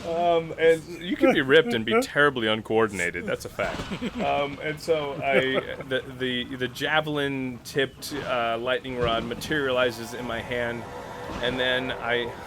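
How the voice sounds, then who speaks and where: A man playing a tabletop role-playing game in casual banter, excitable and playful, narrating his character's moves with dramatic flair. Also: medium pitch, measured pace. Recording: noticeable background noise.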